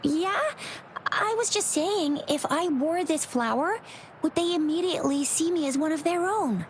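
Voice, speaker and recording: Very high, bubbly excitable girl. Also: normal speed, slight background noise. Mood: puzzled, animated. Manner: gushing, informal, exclamatory. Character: bubbly, excitable teenage girl, openly impressed. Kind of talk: video game voice acting.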